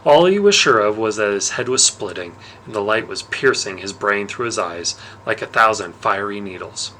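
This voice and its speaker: A man narrating a novel aloud in an expressive storytelling style. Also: lowish voice, normal speed, a little hiss or hum, poor audio.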